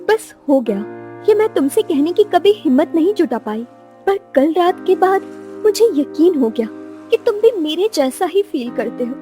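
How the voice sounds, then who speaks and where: A woman's voice: very high voice, very animated, normal speed, dark tone, faint music behind. A woman voicing an earnest, lovestruck young woman in an audio romance drama, tender and emotional. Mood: loving, hopeful.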